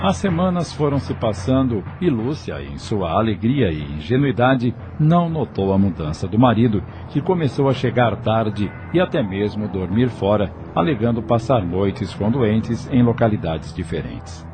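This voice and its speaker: A man's voice: fairly low pitch, big pitch swings, normal speed, few pauses, background noise. A man narrating a scripted audio novel in a dramatic storytelling manner.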